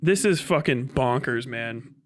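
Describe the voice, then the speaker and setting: A man casually chatting and reacting on a livestream, with halting, slangy, profane speech. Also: medium pitch, lively intonation, slight background noise. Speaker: livestream host.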